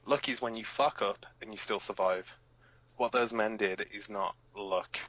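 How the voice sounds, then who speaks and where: A man's voice: on the low side, fairly even intonation, unhurried, fairly bright tone, dry recording, highs cut off. A man playing a hot-headed, protective resistance fighter who hosts a rebel radio broadcast in an audio drama, blunt, emphatic and given to swearing. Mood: stern, earnest.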